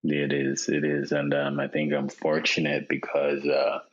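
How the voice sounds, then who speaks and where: A Jamaican man in his thirties, a podcast guest, talking in a relaxed way with many fillers and repeated words over a remote call. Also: a bass voice, measured pace. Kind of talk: casual interview chat. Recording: dull lo-fi sound.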